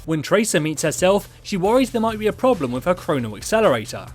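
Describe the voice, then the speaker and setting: Man, video host, high pitch, animated intonation, scripted narration, soft music behind. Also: fast talker. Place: close mic voice-over with music behind.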